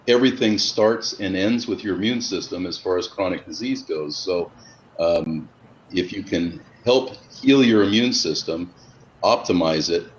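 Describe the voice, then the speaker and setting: Low-voiced man in his fifties, muffled audio. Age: 50s. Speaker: host. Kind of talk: casual video interview. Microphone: video call. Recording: dull lo-fi audio with background noise.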